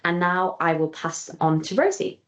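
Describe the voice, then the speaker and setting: A woman presenting a recruitment webinar in a clear, measured, slightly scripted way. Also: lowish voice, animated. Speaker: Law Commission staff presenter.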